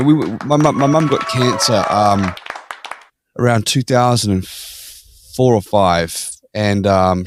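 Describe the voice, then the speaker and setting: Easygoing Australian man, low voice. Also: no room sound, clean studio sound. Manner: easygoing, laughs often, storytelling. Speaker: podcast guest. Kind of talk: casual interview chat.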